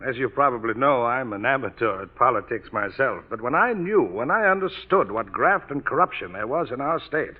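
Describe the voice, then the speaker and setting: An actor playing an earnest middle-aged reform politician in a 1930s radio drama, speaking in a measured way. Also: male voice, medium pitch, expressive, close to an everyday voice. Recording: dull old radio recording.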